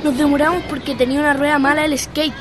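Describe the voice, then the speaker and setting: A high-voiced performer playing an easygoing, joking young skateboarder with a Chilean accent in a radio drama. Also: level tone, fast talker, background noise, lightly acted. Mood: casual.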